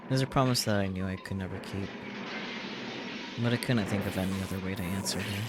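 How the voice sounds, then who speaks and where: A man's voice: low-pitched, quick pace, loud music behind the voice. A man who streams games, reading visual novel text aloud as narration.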